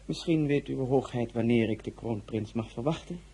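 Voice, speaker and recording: An actor playing a suave, imperious tsar in a radio drama, speaking formally and in measured phrases with a husky voice. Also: male voice, medium pitch, warm tone. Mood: courtly. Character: suave, imperious Tsar of Russia, courtly and probing.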